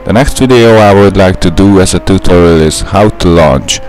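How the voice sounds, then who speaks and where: A man's voice: deep voice, moderate pace, darkish tone, a little hiss or hum. A young man with a Hungarian accent, a native Hungarian speaker, narrating a gameplay tutorial in a careful, methodical way. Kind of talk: scripted gameplay voice-over.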